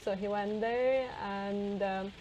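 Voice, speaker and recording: A woman's voice: mid-range voice, fairly even intonation, slow, a little mellow, highs cut off, a little hiss or hum. A woman who grew up in Siberia, a native speaker of Russian and Armenian, chatting casually in a hesitant way with many fillers and restarts. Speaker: co-host. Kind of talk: casual conversation.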